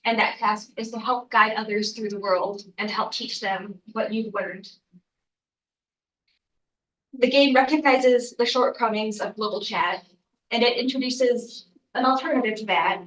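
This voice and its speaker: A woman's voice: fairly high pitch, fairly bright tone, recorded from a distance. Young woman with a cold giving a class presentation, kinda stuffy, lots of ums, echoey room from a bit away. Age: young adult. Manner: earnest, with frequent ums and uhs. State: congested from a cold. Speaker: student presenter. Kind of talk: academic research presentation. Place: lecture room.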